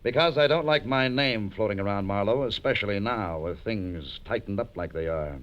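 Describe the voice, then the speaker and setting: A man's voice: lowish voice, moderate pace, a bit bright, lightly acted. An American actor playing a cold, menacing male crime boss in a 1940s radio drama, curt and coolly threatening. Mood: guarded, irritated. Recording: muffled old radio drama recording.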